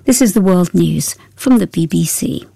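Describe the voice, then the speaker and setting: A woman reading a radio news bulletin in a measured, formal way. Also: on the low side, expressive, vocal fry, dark tone, slightly rough, dry recording. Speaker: newsreader.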